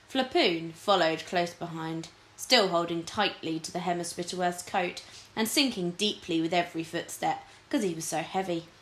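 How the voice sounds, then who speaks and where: A woman narrating a children's storybook expressively, like a teacher reading aloud. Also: lowish voice. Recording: echoey room.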